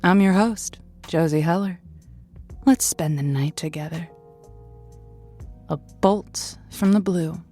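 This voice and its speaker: An actress playing a wry, soothing host of a late-night radio call-in show, speaking in a deliberate, confiding way. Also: female voice, fairly low pitch, dark tone, faint music behind. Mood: intimate, reflective. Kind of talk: scripted radio drama, intimate monologue and chat.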